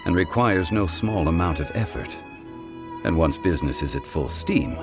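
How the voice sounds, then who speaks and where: An actor playing a calm, wise, dignified gentleman in a video game, speaking in a measured, formal manner. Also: low-pitched. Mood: composed, reflective. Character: calm, wise, dignified gentleman who has seen it all. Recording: music behind the voice. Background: game music.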